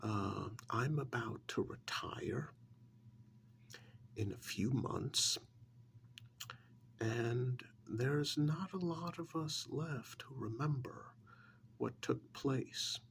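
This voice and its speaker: A man playing a polite, guarded, elderly small-town museum curator in a soft, breathy, hesitant ASMR role-play. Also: mid-range voice, a little hiss or hum. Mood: confiding, reluctant. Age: older. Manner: hesitant, deliberate, courteous.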